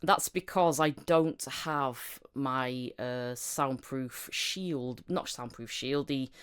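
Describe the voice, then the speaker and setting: A woman's voice: rumbling low, takes it slowly, smooth. A British woman hosting a casual podcast chat, hesitant and self-effacing, recorded in a bedroom on a condenser microphone. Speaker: podcast host, voice actor. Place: bedroom at home.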